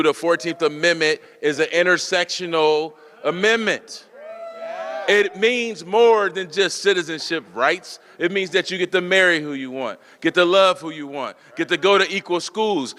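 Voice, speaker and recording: A man's voice: high voice, sharp tone, long reverb tail. A man speaking off the cuff as emcee at an activist press conference, in an informal, folksy, rallying way. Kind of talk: impromptu rally speech.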